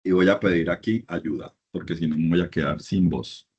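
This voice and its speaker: A man's voice: deep voice, normal speed, a little mellow, breathy edge, lo-fi sound. A middle-aged man from Colombia giving a university class lecture, emphatic and repeating his points in lists.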